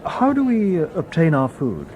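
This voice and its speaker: An actor playing a polite, logical humanlike robot detective in a radio drama, with formal, precise speech. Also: male voice, a little high, wildly swooping pitch, moderate pace, highs cut off, a faint crowd. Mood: curious. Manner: formal, precise, unemotional wording.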